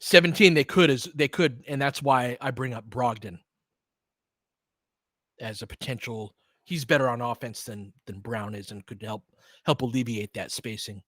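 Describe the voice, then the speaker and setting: A man hosts a live basketball audio show in a loose, conversational style. He gives opinions and addresses listeners directly in informal, slangy phrasing. His mid-pitched voice is recorded dry, with very little room sound. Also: moderate pace, dry recording. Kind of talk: casual live sports discussion with loose play-by-play.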